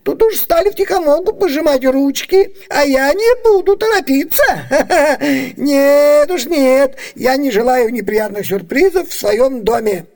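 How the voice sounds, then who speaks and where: An excitable old merchant and domineering father, pitched very high. He fusses and exclaims in broad comic style, as in a classic Soviet radio play. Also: unhurried. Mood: gleeful, impatient. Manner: fussy, exclamatory, chuckling comic delivery. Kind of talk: Soviet radio theatre comedy acting.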